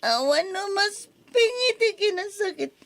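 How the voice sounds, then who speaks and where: A woman acting as a heartbroken young mother in an old radio drama, with an emotional, drawn-out delivery. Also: extremely high pitch, animated, a bit bright, heavily acted. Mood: anguished.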